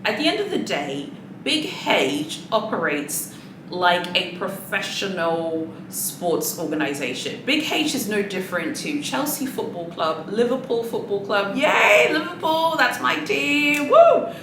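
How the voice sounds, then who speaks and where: A woman's voice: mid-range voice, very animated, a little slow. A woman whose first language is Swahili, casually chatting to her viewers in a sassy, rambling manner with many asides. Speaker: luxury handbag vlogger. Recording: echoey room with background noise.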